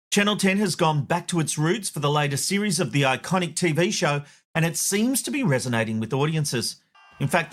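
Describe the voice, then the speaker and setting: A man's voice: a little high, fairly bright tone. A man with an Australian accent hosting a media commentary show, wry and opinionated. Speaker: host.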